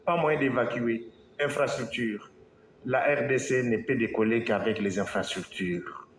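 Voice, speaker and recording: A man from Congo, an engineer, giving a slow, halting talk to an audience, with pauses and restarts. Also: mid-range voice. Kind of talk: speech to an audience. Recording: echoey hall, faint background noise.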